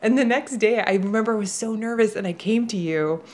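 A therapist whose first language is Polish, talking casually on a podcast, telling stories with lots of laughter and acted-out quotes. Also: female voice, mid-range voice, brisk, slight background noise. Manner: animated storyteller who acts out quoted speech and laughs often.

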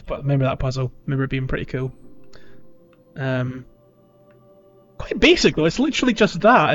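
A man's voice: mid-range voice, muffled recording. A man hosting a casual podcast chat about a video game, talking in a chatty, informal way. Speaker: podcast host.

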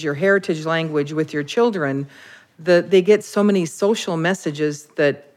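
A woman interviewed as a heritage language learner, speaking in a thoughtful, measured way. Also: low voice. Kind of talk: interview commentary.

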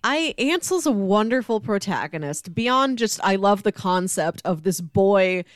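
A woman's voice: mid-range voice, lively intonation, unhurried, no room sound, clean studio sound. A woman hosting a podcast, chatting casually about a book and acting out bits as she retells it. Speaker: podcast host.

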